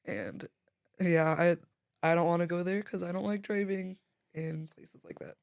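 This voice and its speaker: A woman's voice: lowish voice, steady tone, unhurried, gravelly, darkish tone. Chatty, giggly woman podcast host. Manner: chatty and giggly, laughs often. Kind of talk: casual conversation.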